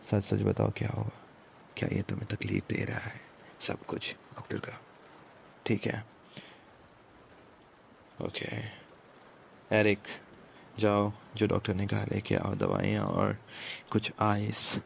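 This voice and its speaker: A man playing a commanding, protective mafia boss in an ASMR romance roleplay, speaking in a hushed, deliberate way with English words mixed in. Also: steady tone, slight background noise, heavily acted. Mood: concerned, gentle. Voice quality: low, gravelly, breathy at times. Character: commanding yet protective male mafia boss, tender with his captive.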